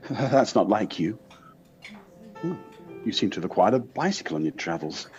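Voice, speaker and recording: A man in an audio play acting a devoted, teasing companion with a droll, expressive delivery. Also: on the low side, moderate pace. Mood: warm, teasing. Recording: dull personal-device recording, music behind.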